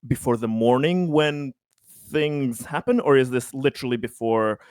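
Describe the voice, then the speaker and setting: A man of average pitch speaks as a tabletop game player. His voice is smooth, and he feels his way through sentences in short, halting pieces. The sound is dry. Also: darkish tone, no room sound. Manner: halting, tentative phrasing. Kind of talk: casual game talk.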